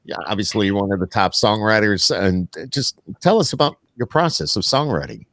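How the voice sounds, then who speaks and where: Male interviewer, American accent, slightly low and very lively pitch, casual hosting, dry close sound. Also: fairly quick, no room sound. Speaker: podcast host interviewer.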